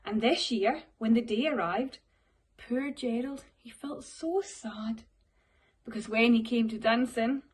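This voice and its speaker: Slow, high-pitched Scottish woman reading aloud. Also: slightly rough. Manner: expressive, addressing young listeners. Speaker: primary school teacher. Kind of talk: storybook read-aloud for children.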